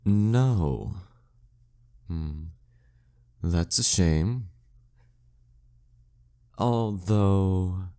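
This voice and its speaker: A man performs a dominant demon king in a flirty audio drama. His voice is very deep and dark, with a mellow softness. He speaks slowly and condescendingly, teasing the listener with courtly, seductive menace. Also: gravelly, exaggerated voice. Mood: coolly disappointed. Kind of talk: flirty audio roleplay monologue addressed to the listener.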